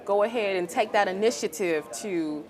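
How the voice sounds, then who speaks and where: A woman's voice: mid-range voice, normal speed, low-quality recording. A woman hosting a TV interview, encouraging, often repeating and rephrasing her questions. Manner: encouraging, repeats questions, frequent "really?" and "wow". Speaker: TV interview host. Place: book fair.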